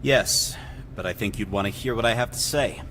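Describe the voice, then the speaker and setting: Urgent, ambitious younger executive. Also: male voice, lowish voice, normal speed, fairly bright tone, slight background noise. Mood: insistent. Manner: urgent, persuasive. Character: earnest, ambitious younger male executive, pleading and defiant. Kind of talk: dramatic video game voice acting.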